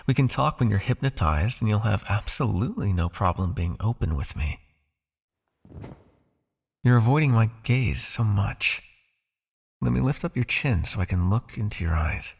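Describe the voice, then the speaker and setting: A possessive male vampire, played by a man, coaxes and commands his captive in intimate hypnosis roleplay. His soft, teasing voice has a clear gravelly fry to it. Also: on the low side, animated, moderate pace, exaggerated voice. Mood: hypnotic, coaxing.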